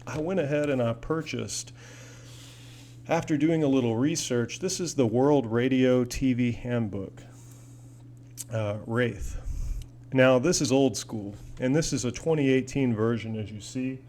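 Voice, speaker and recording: A man talks casually to viewers as a hobbyist host, in a medium-pitched voice. He rambles in a folksy, enthusiastic way. The recording is a homemade indoor one with clear noise and hum behind him. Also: unhurried, darkish tone. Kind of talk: casual product demo and chat. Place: indoors in an apartment. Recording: noisy home recording with audible hum.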